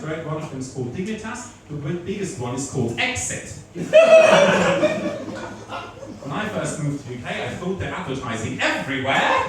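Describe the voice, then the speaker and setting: A man with a German accent works a comedy crowd, his pitch leaping up and down between dry asides. The sound is very roomy and picked up from some distance. Audience noise hums clearly behind him. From Switzerland. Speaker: stand-up comedian. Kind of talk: stand-up comedy set. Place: comedy club stage before a laughing audience.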